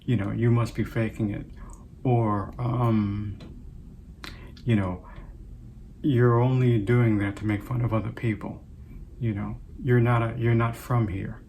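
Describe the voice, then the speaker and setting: An older man in his sixties, raised in Trinidad, talks to his viewers as host of his own show. His voice sits in an ordinary middle pitch, and he speaks unhurriedly and reflectively, pausing often as he gathers his thoughts. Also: steady tone, vocal fry, mellow, slight background noise. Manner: reflective, unhurried, with many pauses. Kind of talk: casual personal talk to camera.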